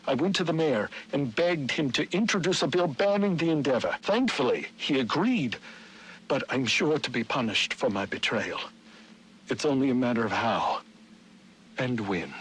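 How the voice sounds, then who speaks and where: An actor playing a middle-aged Victorian gentleman, a scheming city founder, narrating his journal in formal, dramatic, archaic diction for a video game. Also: mid-range voice, expressive, moderate pace, a little hiss or hum, natural voice, rough recording. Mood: grave, worried.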